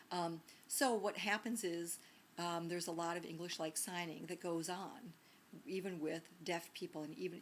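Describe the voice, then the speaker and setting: A woman's voice: lowish voice, slight background noise. A woman teacher giving a recorded lecture in a conversational way, with many ums and restarts and frequent rhetorical questions.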